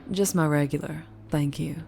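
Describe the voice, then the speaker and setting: A self-assured Southern vampire woman, acted by a female performer, speaking low over clear music. She is flirtatious and unhurried, teasing with pet names in an ASMR audio roleplay. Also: fairly even intonation, darkish tone, full character voice. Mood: pleased, confiding.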